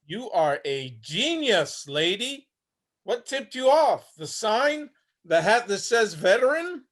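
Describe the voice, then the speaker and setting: Male actor as weary 73-year-old homeless war veteran, sardonic and blunt, very high-pitched for a man, stage play reading. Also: sing-song, slow pace, fairly bright tone, exaggerated voice. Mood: sardonic, gruff. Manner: wry, blunt, streetwise. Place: remote video-call reading.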